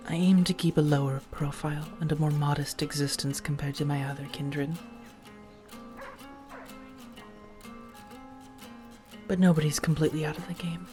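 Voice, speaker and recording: A woman playing a protective, worldly vampire roommate in a soft-spoken ASMR roleplay, speaking in measured, halting phrases that sometimes drop toward a whisper. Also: deep voice, fairly even intonation, natural voice. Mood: grave, confiding. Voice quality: soft, breathy whisper at times. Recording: music under the voice.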